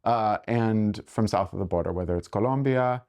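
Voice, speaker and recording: A man in his forties, a podcast guest, talking in an articulate, reflective casual chat with sudden lively rises. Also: lowish voice, moderate pace. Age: 40s.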